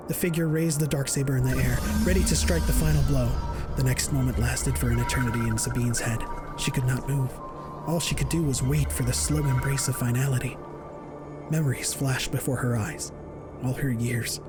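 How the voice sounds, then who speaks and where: A man narrates an action audio drama in a mid-pitched voice. His delivery is scripted and dramatic, in the manner of an audiobook. A musical score plays clearly behind him.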